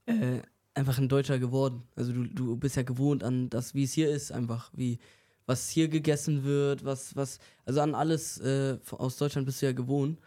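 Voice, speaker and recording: Casting: male podcast co-host, warm relaxed timbre, medium pitch, casual delivery. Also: normal speed. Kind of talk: casual interview chat.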